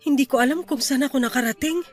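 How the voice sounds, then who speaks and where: A sorrowful lover torn between two men in a Filipino radio drama. Her very high voice is hesitant, tearful and pleading, with music behind it. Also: fast. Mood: sad, confused.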